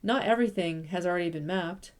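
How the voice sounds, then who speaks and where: A woman voicing a curious, gentle female forest animal who loves maps, speaking softly and with wonder. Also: on the low side, a little fast, close to an everyday voice. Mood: tender.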